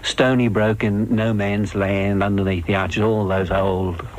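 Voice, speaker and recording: An older man with a fairly low voice reminisces in an interview. He tells his stories fondly and haltingly, doubling back on words as he goes. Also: little pitch movement, rough recording. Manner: halting, repetitive, fond storytelling. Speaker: veteran comedy straight man recalling stage work.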